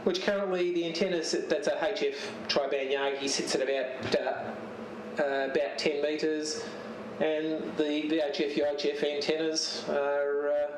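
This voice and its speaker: A man giving a casual, unscripted show-and-tell as a radio hobbyist, speaking haltingly and searching for words. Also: on the high side. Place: home radio shack. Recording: roomy, distant mic with steady noise.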